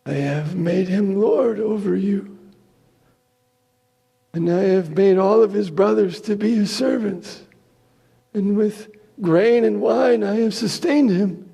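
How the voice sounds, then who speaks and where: A man's voice: sits high, takes it slowly, vocal fry, long pauses, dark tone, husky, pitched above the performer's own voice, heavily acted. Man acting a solemn old patriarch, big echo. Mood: grave, sorrowful. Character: old blind patriarch father, solemn, blessing his son. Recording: reverberant church hall.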